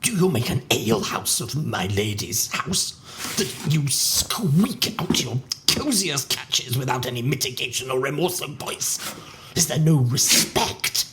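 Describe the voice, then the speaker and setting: An actor playing a stern, priggish household steward, scolding pompously in clipped phrases in a Shakespearean audio play. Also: male voice, mid-range voice, expressive, a little slow, a bit bright, a little hiss or hum. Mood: outraged scolding. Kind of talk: theatrical Shakespearean audio drama acting.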